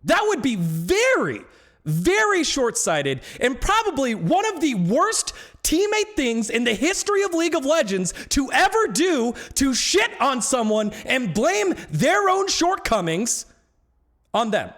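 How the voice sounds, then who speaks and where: A man's voice: extremely high pitch, huge pitch swings, measured pace, a bit bright. A man talking casually on a live stream about esports, ranting with growing indignation.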